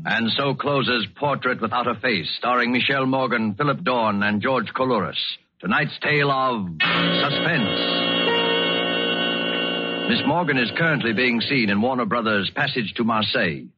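Male radio announcer, formal 1940s style, closing credits, loud music behind, muffled recording. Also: mid-range voice, moderate pace.

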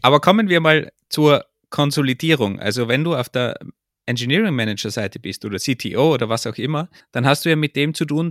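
A man's voice: mid-range voice, a bit bright, no room sound, clean studio sound. A man with an Austrian accent, chatting casually as the co-host of a podcast.

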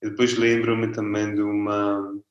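A man from Italy with an Italian accent, a festival director speaking Portuguese as a second language in a relaxed, hesitant interview. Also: lowish voice, unhurried, reverberant, dull audio. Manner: relaxed, hesitant, searching for words. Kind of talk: interview guest.

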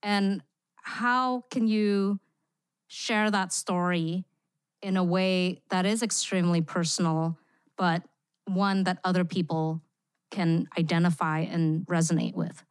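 Woman from Singapore, podcast guest writer, thoughtful and filler-heavy, lowish voice, dry close recording. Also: steady tone, slow, smooth, no room sound. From born in Indonesia, raised in Singapore. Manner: reflective, frequent "you know" fillers. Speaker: guest, immersive experience writer. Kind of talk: podcast interview.

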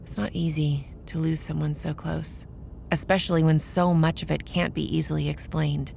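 A woman acting a brooding, cautious female vampire initiate in an audio drama, with a dry, guarded, sardonic manner and a gravelly voice. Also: sits low, flat delivery, moderate pace, darkish tone, slight background noise. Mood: somber, restrained. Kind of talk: audio drama dialogue and first-person narration.

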